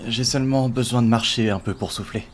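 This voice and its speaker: A man's voice: mid-range voice, steady tone, brisk, a little hiss or hum, natural voice. Man acting an evasive, uneasy teenage boy. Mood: rattled. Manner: hesitant, casual, brushing things off. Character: evasive teenage schoolboy, nervous, downplaying his troubles. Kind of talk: amateur webtoon fan dub acting. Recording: voice under clearly audible music.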